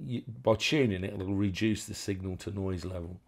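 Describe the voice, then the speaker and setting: Low-voiced man giving a halting technical walkthrough. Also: steady tone. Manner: halting, self-correcting explanation. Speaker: electronics hobbyist presenter.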